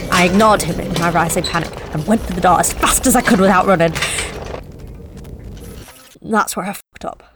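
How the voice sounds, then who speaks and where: A woman's voice: on the low side, moderate pace, pauses often, heavy background noise, natural voice. An actress playing a nervous young delivery driver, telling her story in a tense, confessional first-person monologue with blunt swearing. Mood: uneasy. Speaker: narrating actor.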